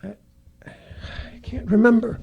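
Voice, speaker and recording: A man playing a mild, dignified father with a gentle, hesitant manner, in an old-time radio drama. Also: very high-pitched, fairly even intonation, takes it slowly, vocal fry, lo-fi sound, exaggerated voice. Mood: dazed, faltering. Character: Mr. Grover, injured, dazed father, mild and dignified.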